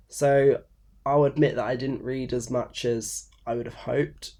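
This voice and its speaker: Brief: young male book vlogger, stuffy and croaky with a cold, rambling and loose, mid-pitched, recorded on a phone. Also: warm tone. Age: 20s. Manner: rambling, many fillers and restarts. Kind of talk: casual unscripted talk to camera. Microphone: phone camera.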